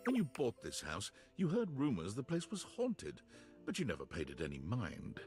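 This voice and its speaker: A man's voice: mid-range voice, wildly swooping pitch, normal speed, music in the background. A man playing a dramatic narrator in a video game, reading scripted narration in a theatrical, suspenseful way. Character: dramatic male storybook-style narrator of a romance game.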